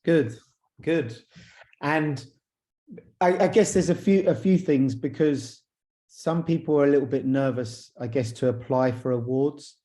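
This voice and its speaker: Casting: male interviewer, dark mellow voice, mid pitch, tentative and conversational, hosting a casual online chat. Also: a little slow. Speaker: interviewer, awards chair. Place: video call.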